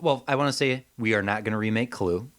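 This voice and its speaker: A man co-hosting a podcast in casual, comedic chat, joking and mildly profane in an animated way. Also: on the low side, quick pace. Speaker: podcast co-host.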